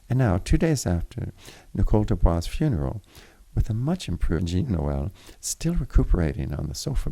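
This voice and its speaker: A man reading literary fiction aloud in a formal, deliberate way, with the occasional stumble. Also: deep voice, vocal fry, dark tone. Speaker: reader of a story chapter. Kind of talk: literary audiobook narration.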